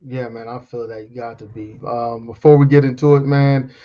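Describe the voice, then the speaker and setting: A young man hosting an interview in a casual, slangy way. His pitch stays fairly flat even when he sounds upbeat. The sound is dull and lo-fi. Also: moderate pace. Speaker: interview host.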